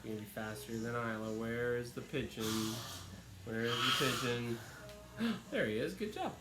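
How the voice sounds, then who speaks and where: A man hosting a children's story read-aloud, chatting casually with expressive, questioning asides. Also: mid-range voice, moderate pace, roomy sound, heavy background noise.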